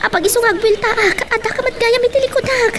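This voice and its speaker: A woman's voice: extremely high pitch, fast talker, heavy background noise. A woman playing a polite, easily flustered young granddaughter with a Filipino accent in an audio drama. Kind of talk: amateur audio drama acting.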